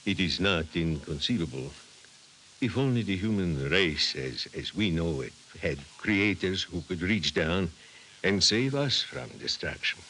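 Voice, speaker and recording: Deep, grave scientist. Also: male voice, animated, measured pace, sharp tone, exaggerated voice, rough recording. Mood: grave, doubting. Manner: grave, restrained. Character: sober, skeptical male scientist doctor. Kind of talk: 1950s radio drama acting. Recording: hissy vintage 1950s radio recording.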